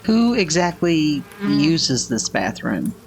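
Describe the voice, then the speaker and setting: A woman's voice: sits low, sing-song, slow pace, darkish tone, a little hiss or hum, exaggerated voice. Curious visiting cousin read by an older woman. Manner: questioning, tentative. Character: polite, curious visiting cousin, game protagonist. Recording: background music under the voice.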